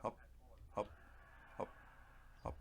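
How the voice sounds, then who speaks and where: A man giving casual, rambling let's play commentary as he streams a video game, half talking to himself with playful little words. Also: slow. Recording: clear background noise from nearby TV. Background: Netflix playing in the background.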